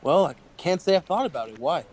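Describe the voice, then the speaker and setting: An actor playing a jittery male clerk in a radio play. His voice sits a little high, and he answers hesitantly and defensively. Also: animated, fairly quick, darkish tone. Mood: uneasy. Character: guarded, nervous male office worker. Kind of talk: audio drama acting.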